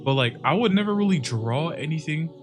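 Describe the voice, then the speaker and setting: A man's voice: on the high side, animated, a little fast, over music. A man, giving casual, slangy reaction commentary as a video host.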